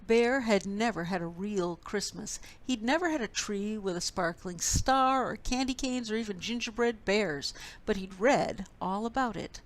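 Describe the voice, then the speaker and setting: A woman storyteller reading a children's picture book expressively, with deliberate, dramatic phrasing. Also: medium pitch, low-quality recording.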